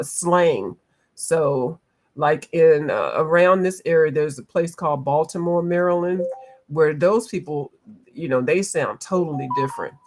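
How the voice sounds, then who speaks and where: A woman from the eastern United States chatting casually with live viewers while she colors, reading out their comments and laughing often. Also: low voice. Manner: laughs often, playful, drifts between accents. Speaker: coloring livestream host.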